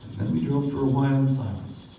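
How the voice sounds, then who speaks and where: A man playing a reflective storyteller, giving measured literary narration in a radio play. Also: medium pitch, mellow, close to an everyday voice. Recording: echoey stage from far off, clear noise.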